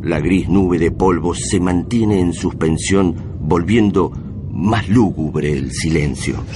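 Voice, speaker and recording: A man's voice: deep voice, slow, noisy background. A man with an Argentine accent narrating a radio drama in a dramatic, scripted style.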